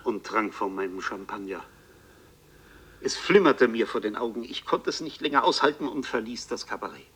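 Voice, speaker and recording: An actor playing a haunted Parisian bookseller, narrating his fantastical ordeal in a literary, deliberate and intense radio play style. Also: fairly low pitch, animated, a bit bright, breathy edge. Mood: haunted, agitated. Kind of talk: dramatic first-person radio play narration.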